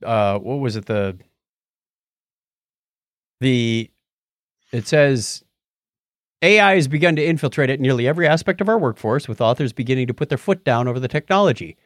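A man co-hosting a podcast, talking casually through the news in an animated, playful, bantering way. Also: mid-range voice, normal speed, pauses often, dry recording, clean studio sound. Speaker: podcast co-host. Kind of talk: casual conversational news discussion.

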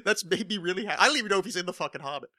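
A man's voice: high-pitched, expressive, racing, pushed and bright, dry recording, clean studio sound. A man hosting a casual comedy podcast, joking and mocking himself, swearing often. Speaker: podcast host.

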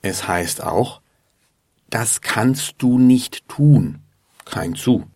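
A man hosting a lesson podcast, slowly and patiently explaining grammar to learners. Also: fairly low pitch, animated, a little mellow, husky, no echo, clean studio sound. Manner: deliberate and patient. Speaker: German teacher and podcast host. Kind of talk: slow, clear explanation for learners.

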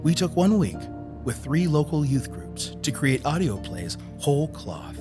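Animated male mystery-radio host over music. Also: fairly high pitch, unhurried. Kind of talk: spooky scripted introduction. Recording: music behind the voice.

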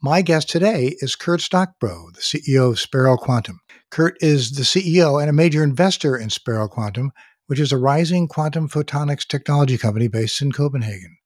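A man's voice: medium pitch, expressive, fairly quick, dry recording, clean studio sound. A man hosting a podcast, reading a polished guest introduction and asking interview questions. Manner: polished, reads guest bio. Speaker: podcast host.

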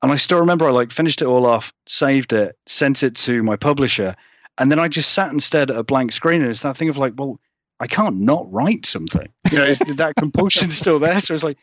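A man's voice: mid-range voice, lively intonation, fairly quick, fairly bright tone, no echo. A man chatting casually as an interview guest about his writing, rambling, hedging and self-deprecating. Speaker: guest, horror fiction author. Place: remote call from another country.

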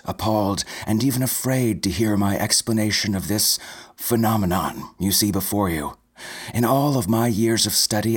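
A man's voice: lowish voice, slightly rough, voice pushed up. A man voicing a pompous middle-aged marine biologist who lectures a crowd.

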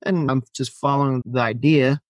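Finnish man telling an animated story. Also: medium pitch, normal speed, dry recording. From Finland. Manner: animated storyteller who acts out quoted lines and laughs often. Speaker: podcast guest. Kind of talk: casual storytelling interview.

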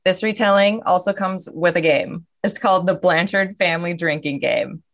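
Low-voiced woman co-hosting a podcast. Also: normal speed. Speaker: podcast co-host. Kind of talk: playful scripted introduction.

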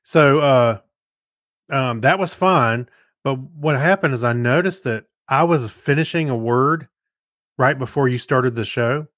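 A man co-hosting a podcast in casual chat, speaking in a halting, easygoing way. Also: mid-range voice, fairly bright tone, no echo, studio quality. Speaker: podcast co-host. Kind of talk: casual podcast chat, reading listener feedback.

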